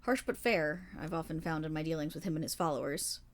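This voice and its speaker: A woman playing a kindly, weary and remorseful paladin in a fantasy roleplay audio, speaking formally in measured, gentle storytelling with dramatic pauses. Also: low voice. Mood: friendly, curious. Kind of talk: fantasy roleplay audio monologue addressed to the listener.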